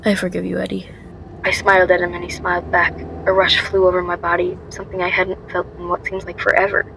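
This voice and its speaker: A woman's voice: lowish voice, completely flat delivery, fast, gravelly, noisy background. A woman playing a frightened but determined young woman on the run in a first-person radio drama. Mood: subdued, then reflective.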